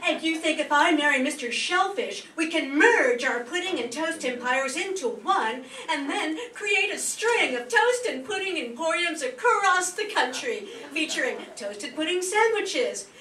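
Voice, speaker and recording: A woman playing a naive, excitable young heiress, gushing in a radio soap opera parody. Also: high voice, a little slow, hardly any pauses, full character voice. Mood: excited. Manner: breathless, gushing, exclamatory. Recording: echoey stage, far mic, faint noise.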